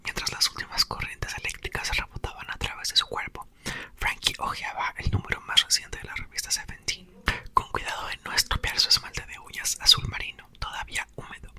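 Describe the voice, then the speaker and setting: A man reads a story aloud in a breathy, intimate ASMR whisper, with soft and expressive narration. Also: brisk. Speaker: ASMR creator reading a book aloud.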